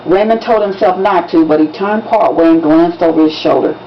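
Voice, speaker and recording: A woman, an author reading from a novel in an expressive, dramatic audiobook style with emphatic storytelling. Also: on the low side, fairly quick. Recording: dull lo-fi recording with background noise.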